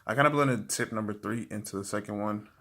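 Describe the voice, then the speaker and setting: A young man giving casual language-learning tips to camera, talking in a relaxed, rambling way with many fillers and restarts. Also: on the low side, quick pace. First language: English.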